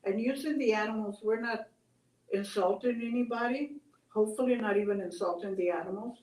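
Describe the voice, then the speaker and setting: An older woman telling stories and reflecting on them in a gentle, halting, conversational way. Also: fairly high pitch. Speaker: storyteller, host. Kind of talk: oral storytelling and reflective chat. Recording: echoey room, distant mic.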